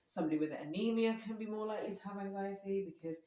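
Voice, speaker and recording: A woman co-hosting a casual podcast chat, a bit hoarse from a cold, explaining at length with frequent ums and laughs. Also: medium pitch, quick pace, mellow, far from the mic. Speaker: podcast co-host. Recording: echoey room, voice off-mic.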